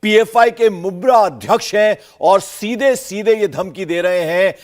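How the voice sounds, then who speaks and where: A male journalist with an Indian accent comments on the news in a fairly high voice. He is emphatic, circling back to repeat his key phrases. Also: unhurried. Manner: emphatic, repetitive.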